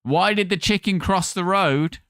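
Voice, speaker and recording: A man's voice: high voice, a bit bright, dry recording. A Scottish man chatting live on his stream, rattling off thanks to viewers and cracking jokes. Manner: chatty, thanks viewers rapid-fire, jokey. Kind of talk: casual live-stream chat.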